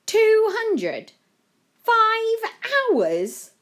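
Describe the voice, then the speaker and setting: A cheeky young storybook boy, voiced by a woman reader. He is plucky, bold and informal, his high voice bouncing up and down. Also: sing-song, moderate pace. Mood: aghast.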